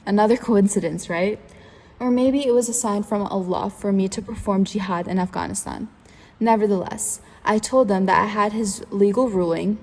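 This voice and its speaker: A woman's voice: mid-range voice, a little mellow, lightly acted. A woman narrating in first person as a young man looking back on his life, earnest and read from a script. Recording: long reverb.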